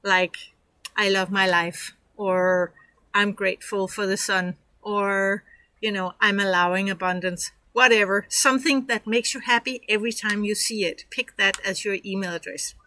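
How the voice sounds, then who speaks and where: A woman's voice: mid-range voice, fairly even intonation, normal speed, bright, forceful tone, no echo. A woman from Denmark with a Danish accent, walking viewers through a tutorial in a chatty, encouraging way with lots of fillers. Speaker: online course instructor. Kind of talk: casual screen-share tutorial.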